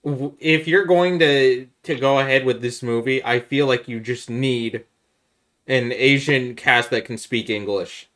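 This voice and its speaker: A man's voice: mid-range voice, a little slow, a bit bright. A man with a mid-range voice talks as a podcast co-host. His delivery is casual and conversational, with an emphatic way of laying out his reasoning.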